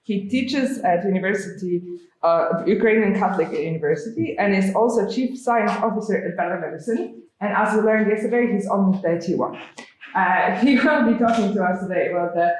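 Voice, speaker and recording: Hesitant woman moderator in an echoey hall. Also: mid-range voice. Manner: halting, hesitant. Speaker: event moderator. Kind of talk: introducing a speaker.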